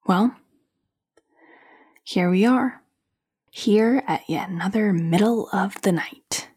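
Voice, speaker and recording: A woman playing a sleepless, anxious and bitter young woman, talking haltingly and sardonically into an audio diary in a found-footage drama. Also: medium pitch, darkish tone, breathy edge. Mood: sardonic, weary. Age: young adult. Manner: sardonic, weary, halting, sweary, sighing.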